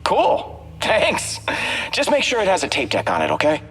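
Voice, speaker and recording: A goofy, cocky space outlaw from a video game, always joking. His pitch leaps around expressively, with long reverb and faint music. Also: huge pitch swings, moderate pace, a bit bright, exaggerated voice. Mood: flippant. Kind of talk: video game character dialogue.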